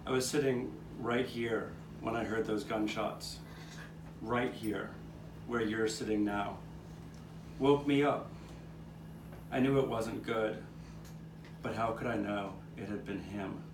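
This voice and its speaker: Puppet show role: man as reflective old male street cat telling his past, measured, recorded from afar over clear noise. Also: fairly low pitch, steady tone. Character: old male street cat, reminiscing storyteller with nine lives. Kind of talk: scripted puppet show narration. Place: outdoor courtyard. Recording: distant, echoey live taping with background noise.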